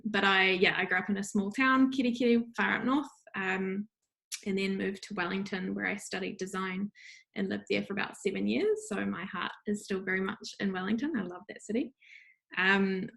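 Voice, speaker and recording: A woman's voice: medium pitch, gravelly, low-quality recording. A woman in her thirties with a New Zealand accent, introducing herself on a video call panel, opening formally and then chatting. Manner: opens with a formal Māori greeting, then chatty.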